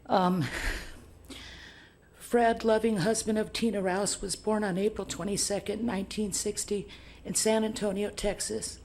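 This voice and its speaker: A hoarse woman reads a memorial notice aloud at a public meeting. Her voice is gravelly, and she speaks softly and carefully. Faint room noise sits behind her. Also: medium pitch, flat delivery, a little slow. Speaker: public commenter. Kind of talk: reading a bereavement notice.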